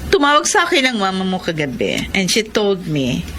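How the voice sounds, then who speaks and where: Theatrical, scornful aunt acted by a woman, very animated. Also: medium pitch, normal speed, slight background noise. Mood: scheming, smug. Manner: theatrical, drawling, slips English phrases into Tagalog. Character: scornful, vengeful aunt plotting against a young man. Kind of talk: Filipino radio romance drama acting.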